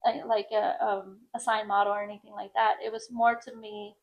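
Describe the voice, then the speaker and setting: A woman in her thirties with a naturally high-pitched voice, chatting as an interview guest about modeling and often laughing. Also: little pitch movement, brisk, highs cut off. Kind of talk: casual conversational interview.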